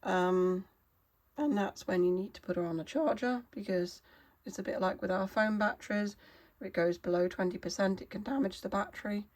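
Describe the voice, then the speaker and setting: A woman with a hoarse, wheezy voice from a cold, explaining a gadget in a casual vlog, halting and apologetic with many fillers. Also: mid-range voice, darkish tone. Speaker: vlogger.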